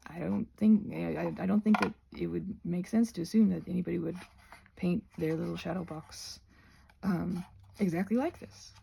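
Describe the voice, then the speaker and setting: A woman rambling casually to viewers as she crafts, in a halting, digressive way that often doubles back on itself. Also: lowish voice, creaky, warm tone, a little hiss or hum, low-quality recording. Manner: halting, digressive, self-interrupting. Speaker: craft and ASMR video creator.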